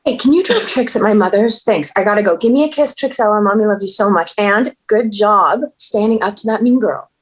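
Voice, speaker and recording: An actress with an American accent playing a dry, no-nonsense police detective in a drama table read, blunt and sarcastic. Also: female voice, mid-range voice, brisk, few pauses, natural voice, rough recording. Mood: affectionate, brisk. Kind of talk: acted script table read.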